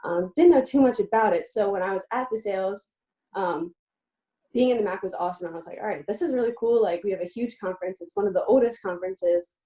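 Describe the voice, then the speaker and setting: A young woman from Pennsylvania speaks as a guest on an interview show, her voice mellow and warm at a middling pitch. She talks eagerly and chattily, often pausing on "um" as she goes. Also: expressive, rough recording. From Lebanon, Pennsylvania, USA. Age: young adult. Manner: eager, chatty, with frequent "um" fillers. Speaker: guest, college student-athlete. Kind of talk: casual interview.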